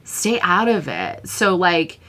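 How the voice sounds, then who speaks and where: A woman co-hosting a podcast in casual chat, telling stories in an animated way, acting out quotes and laughing often. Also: lowish voice, takes it slowly. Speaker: podcast co-host.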